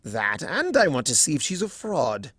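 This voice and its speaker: A man's voice: mid-range voice, huge pitch swings, moderate pace, fairly bright tone, slightly rough, dry recording, clean studio sound, pitched above the performer's own voice, full character voice. A man voicing a mischievous, smug male chaos creature from a cartoon fan story, theatrical and mocking, drawing out his words. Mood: smug teasing.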